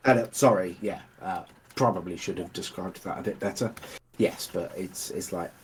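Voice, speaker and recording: A man narrating as dungeon master in a casual, hesitant way, with many pauses and fillers. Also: deep voice, a little hiss or hum. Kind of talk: narrating a tabletop game.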